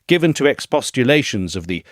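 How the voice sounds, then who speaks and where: A man reads a scripted literary essay in a measured, articulate and expressive way. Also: mid-range voice, dry recording, studio quality. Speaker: audio essay reader.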